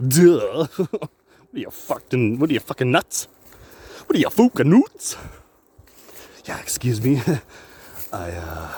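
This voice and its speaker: A man's voice: on the low side, a little mellow, slightly rough. A man vlogging outdoors on a walk, rambling, swearing and laughing at his own jokes. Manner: jokey, profane, laughs often.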